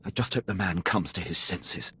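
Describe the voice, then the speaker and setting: An actor playing a dutiful, formal military officer in a video game, deferential and precise, with clipped phrasing. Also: male voice, low voice, normal speed, bright, forceful tone, slightly rough, heavily acted. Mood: restrained concern. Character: dutiful, formal male imperial military officer, loyal subordinate. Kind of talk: video game character acting.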